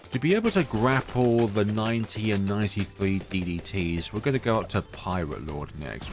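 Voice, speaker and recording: A man with a deep voice giving casual, rambling commentary on his own gameplay, full of fillers. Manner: rambling, chatty, many fillers. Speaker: gaming YouTuber. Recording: music playing behind voice.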